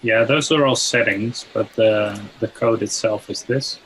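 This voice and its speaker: A man with a fairly low voice gives an informal screen-share demo in a deadpan way. He is on a video call with slight noise and room ambience behind him. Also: a little slow, low-quality recording. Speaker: guest plugin developer demonstrating.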